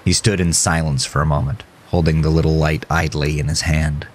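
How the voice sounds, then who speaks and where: Deep-voiced man narrating horror. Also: level tone, a little fast, breathy edge. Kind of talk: scripted dramatic narration.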